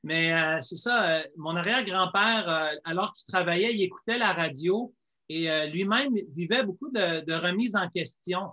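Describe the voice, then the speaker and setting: A man from Quebec with a Quebec French accent gives a personal testimony as an interview guest. His voice is fairly high and bright. He talks conversationally, hesitating and repeating words as he goes. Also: moderate pace. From Quebec, Canada.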